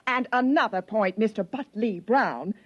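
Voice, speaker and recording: An actress playing a prim, bossy young older sister with a formal, lecturing manner in a 1950s radio drama. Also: female voice, medium pitch, animated, normal speed, highs cut off, natural voice. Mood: haughty. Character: bossy, prim, indignant woman of twenty, strict older sister.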